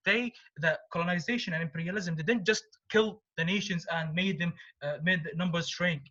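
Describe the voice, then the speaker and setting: A man's voice: sits high, pushed and bright. A man with an Arabic accent, a native Arabic speaker, giving an earnest, argumentative debate speech. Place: online debate call. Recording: dull lo-fi online call audio.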